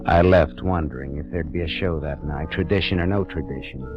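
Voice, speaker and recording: Deep-voiced hardboiled 1940s private eye. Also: male voice, brisk. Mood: tense narrating. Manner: wry, clipped, dramatic hardboiled delivery. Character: hardboiled private detective, wry, world-weary man. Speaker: lead actor and narrator. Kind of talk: 1940s radio noir acting and narration. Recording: dull 1940s radio recording, music behind.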